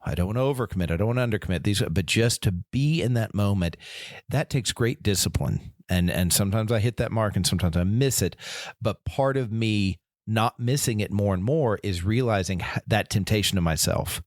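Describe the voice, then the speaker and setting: A man with a lowish voice talks earnestly on a faith podcast, often restarting his sentences and slipping into quoted voices. The sound is dry and close, with no room around it. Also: a little fast, dry recording. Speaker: podcast co-host. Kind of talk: casual faith discussion.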